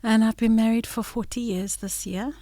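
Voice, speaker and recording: A woman's voice: a little high, steady tone, moderate pace, dark tone, no room sound. A woman in her sixties, a business administrator, introducing herself in a casual family interview with teasing, playful humour.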